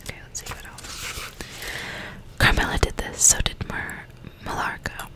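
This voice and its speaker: A woman whispering softly and breathily into a close microphone, ASMR style, reading a book and chatting intimately in asides. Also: a little slow. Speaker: ASMR reader and commentator.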